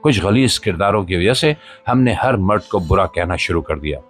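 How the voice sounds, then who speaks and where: A man narrates a scripted spoken-word monologue in a solemn, emphatic, rhetorical manner, with short pauses between phrases. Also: low-pitched, animated. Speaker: podcast host and voiceover narrator. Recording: voice-over with music behind.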